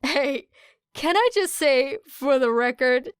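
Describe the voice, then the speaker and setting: A woman's voice: high-pitched, lively intonation, slow pace, dry recording, clean studio sound, pitched above the performer's own voice. Woman doing a mock-serious protesting teen boy. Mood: amused protest. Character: amused, protesting teenage boy.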